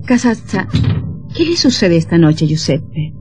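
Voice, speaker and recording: A woman's voice: lowish voice, sing-song, a little slow, over music. A woman playing a devoted, anxious twenty-year-old daughter in an old radio drama, earnest and melodramatic. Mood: worried.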